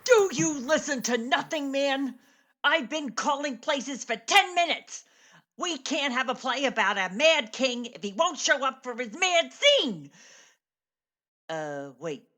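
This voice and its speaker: A woman plays a sardonic, bossy backstage manager in a radio comedy drama. Her voice is fairly high, expressive and deliberately paced, full of exasperation. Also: exaggerated voice. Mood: exasperated. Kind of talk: radio play acting.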